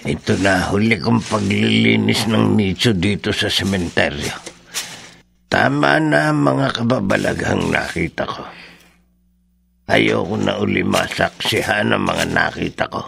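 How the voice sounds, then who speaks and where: An actor playing a weary, fearful old cemetery cleaner in a radio drama, with a gravelly, husky voice. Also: male voice, fairly low pitch, measured pace, long pauses, a bit bright, exaggerated voice. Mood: weary resignation.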